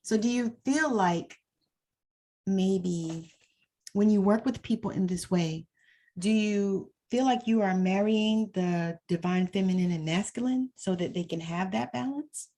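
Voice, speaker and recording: A woman's voice: medium pitch, measured pace, mellow. A woman hosting an interview over a video call, enthusiastic and affirming, laughing often as she talks. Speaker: interview host. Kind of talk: conversational interview.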